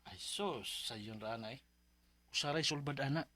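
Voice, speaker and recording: A man's voice: mid-range voice, moderate pace, a crowd in the background, natural voice. A man playing an easygoing, blunt buddy in a radio drama. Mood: teasing advice. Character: easygoing male buddy giving blunt advice. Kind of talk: Philippine radio drama acting.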